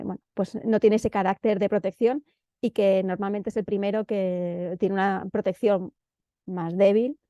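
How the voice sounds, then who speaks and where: Mellow Spanish woman presenting, slightly muffled. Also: medium pitch, no room sound. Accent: central Spanish. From Madrid, Spain. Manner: hesitant, searching for words, repeating words for emphasis. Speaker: presenter of an urban planning guide. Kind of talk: informal spoken presentation. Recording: dull, lo-fi dry audio.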